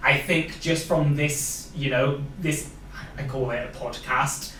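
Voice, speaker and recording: A man's voice: medium pitch, fairly even intonation, slow pace, sharp tone. A young adult man with a Welsh accent, hosting a casual podcast interview and talking encouragingly and reflectively, often adding "like" and "you know". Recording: roomy, distant mic, faint background noise.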